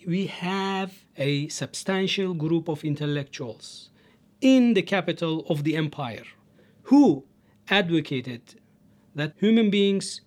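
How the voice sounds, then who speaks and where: A man from Turkey, a history scholar, explaining in a measured way and stressing key words. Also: on the high side, lively intonation. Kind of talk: interview excerpt, explanatory.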